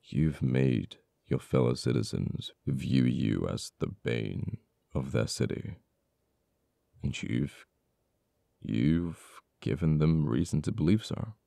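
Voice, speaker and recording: An actor playing an uptight government official in an ASMR roleplay, cold and teasing toward a captured old friend, speaking deliberately with dramatic pauses. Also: male voice, extremely low pitch, gravelly, dark tone, dry recording, studio quality, exaggerated voice. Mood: taunting.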